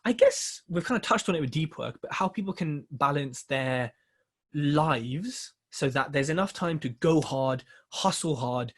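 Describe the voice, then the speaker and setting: A man's voice: fairly high pitch, expressive, unhurried. A man hosting a podcast, chatting casually with plenty of fillers and restarts as he steers the conversation. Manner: rambling, filler-heavy, asks questions and runs the show. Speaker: podcast co-host.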